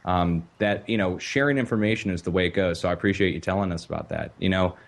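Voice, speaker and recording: A man with a lowish voice hosts a call-in broadcast. He speaks from a home microphone and lays out his case in an emphatic, lecturing way, full of rhetorical questions. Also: fast talker, gravelly. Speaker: radio show host. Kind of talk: call-in show talk.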